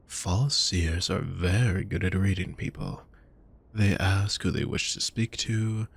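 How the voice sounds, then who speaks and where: A man playing a suave, possessive vampire fortune teller in an intimate audio roleplay, speaking formally and theatrically with breathy murmurs. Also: low voice, heavily acted. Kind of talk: intimate scripted audio roleplay addressed to the listener.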